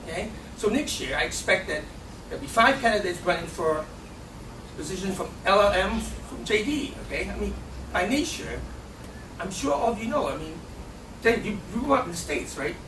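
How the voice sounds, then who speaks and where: Guy campaigning in a debate, high voice, keeps saying okay?, echoey room, mic far off, noisy. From Hong Kong. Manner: punctuates nearly every phrase with a questioning "okay?". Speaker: student election candidate.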